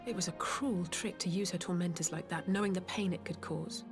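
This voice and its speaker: An actress playing a sharp, blunt female warrior in a game, speaking directly. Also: on the low side, steady tone, fairly quick, natural voice. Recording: game dialogue over background music.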